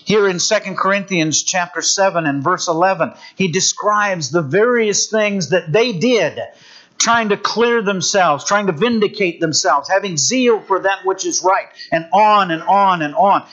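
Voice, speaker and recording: A man preaching a sermon, reading scripture in an earnest, measured way. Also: sits high, fairly bright tone, highs cut off.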